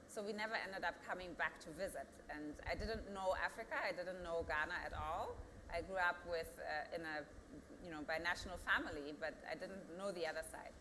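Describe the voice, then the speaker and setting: A woman in her thirties speaks with a German accent, her voice bright and forward though sitting a little low. She answers earnestly, restarting now and then and pushing to emphatic peaks. The sound carries a long hall reverb over faint ambient noise. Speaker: company founder. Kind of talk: panel interview answer.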